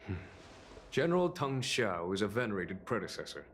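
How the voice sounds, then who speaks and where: Calm, wry general. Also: male voice, fairly low pitch, slight background noise. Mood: measured. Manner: measured, polite, dryly amused. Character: calm, composed male general, courteous and wry. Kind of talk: game cutscene voice acting.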